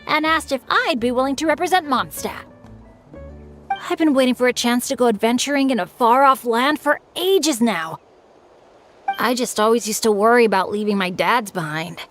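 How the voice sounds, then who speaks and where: An earnest teenage boy adventurer from an anime-style game, with a fairly high voice. He is humble, chatty and good-natured, with a bashful streak. Soft music plays under the dialogue. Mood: eager.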